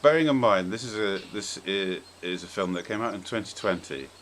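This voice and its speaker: A man's voice: low-pitched, fairly quick, a bit bright, slight background noise. A man hosting a podcast, talking casually and giving his opinions with emphatic, sweary phrasing. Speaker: podcast host.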